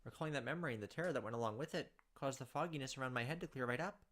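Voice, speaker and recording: A man's voice: medium pitch, rapid-fire. A man hosting a let's play, reading the game text aloud in a dramatic way and breaking in with joking asides. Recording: game music playing loudly behind.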